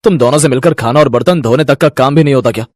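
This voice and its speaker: A man's voice: mid-range voice, racing, a little mellow, no room sound, studio quality, lightly acted. A man voicing an exasperated, stern adult son and husband who scolds in a family story. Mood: angry. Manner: fed up, scolding.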